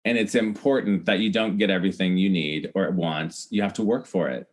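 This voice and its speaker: A man's voice: deep voice, brisk. Earnest man, casual podcast guest. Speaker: podcast guest, celebrity hair stylist. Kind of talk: casual conversational interview.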